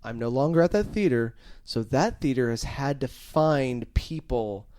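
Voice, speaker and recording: A man co-hosting a comedy podcast, telling stories casually in an excitable, self-mocking way with stammered restarts. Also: mid-range voice, a little slow.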